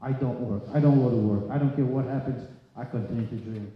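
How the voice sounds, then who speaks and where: Man in a very echoey hall. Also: medium pitch. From Poland. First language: Polish. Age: 30s or 40s. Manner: halting, plain-spoken delivery in short phrases. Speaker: speaker sharing his story at a recovery meeting. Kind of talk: personal testimony to a group. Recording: echoey hall, long reverb, slightly dull sound.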